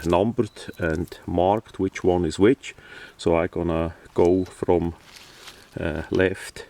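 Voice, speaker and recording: Slow, low-voiced man, halting delivery. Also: warm tone. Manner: halting, hesitant, matter-of-fact delivery. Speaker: hobbyist presenter. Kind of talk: casual hands-on product demonstration. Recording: distant microphone.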